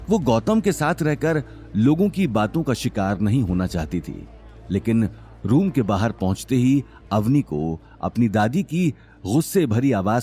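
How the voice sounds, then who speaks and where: A man's voice: mid-range voice, mellow. A man working as narrator, reading a scripted dramatic story.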